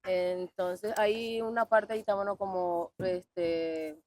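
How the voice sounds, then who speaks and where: A woman's voice: on the low side, steady tone, takes it slowly, darkish tone. A woman from Venezuela with a Venezuelan accent, a guest answering interview questions hesitantly, with many fillers.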